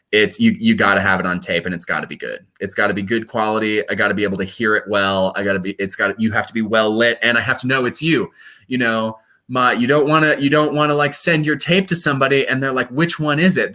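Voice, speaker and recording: A young man from Colorado, a stage performer, chatting casually in an interview with lively, self-deprecating asides. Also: on the low side. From Colorado, USA. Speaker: guest performer.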